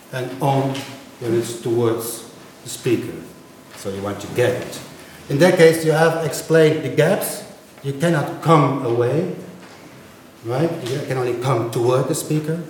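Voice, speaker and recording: A man's voice: medium pitch, a little mellow, slight background noise. Man, Dutch first language, lecturing, frequent "right?", echoey room. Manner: explanatory, checks understanding with frequent "right?". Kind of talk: university lecture on linguistics.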